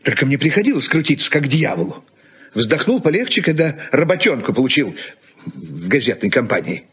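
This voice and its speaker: A touchy, practical middle-aged husband in a 1980s Soviet radio play. The voice is rough and husky with very animated intonation, delivering curt, sardonic grumbles. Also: male voice, mid-range voice, a little fast. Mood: irritated.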